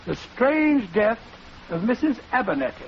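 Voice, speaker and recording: An actor playing Doctor Watson as a genial, bumbling older English gentleman, hesitant and avuncular, in 1940s radio drama style. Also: extremely high pitch, sing-song, normal speed, heavily acted. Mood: genial storytelling. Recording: muffled, noisy 1940s radio recording.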